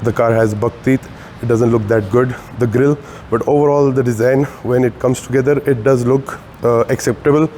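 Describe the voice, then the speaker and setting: A man's voice: medium pitch, mellow, a little hiss or hum. A man with a sore throat giving a casual walkaround car review in a showroom, chatty and full of fillers. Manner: chatty, many "uh" fillers, addresses viewers as guys.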